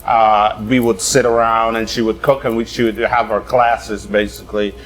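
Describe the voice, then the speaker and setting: A man from Guyana, a chef chatting casually and telling a lively story with quick bursts. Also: lowish voice. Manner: animated storyteller, quick bursts, laughs often. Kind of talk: casual chat.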